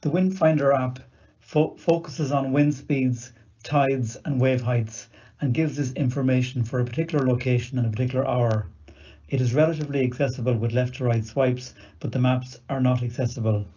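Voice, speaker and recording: A man's voice: medium pitch, fairly even intonation, moderate pace, warm tone, husky. A man narrating a demonstration in a scripted, explanatory style. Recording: dull, muffled recording.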